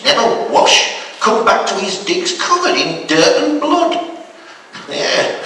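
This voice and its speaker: A man's voice: sits high, sharp tone, pitched above the performer's own voice, exaggerated voice. A man voicing a gossipy, amused old Yorkshire granddad with a Northern English accent, rambling and chuckling as he tells tales. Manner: rambling, chuckling, colloquial storytelling. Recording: echoey hall, distant microphone.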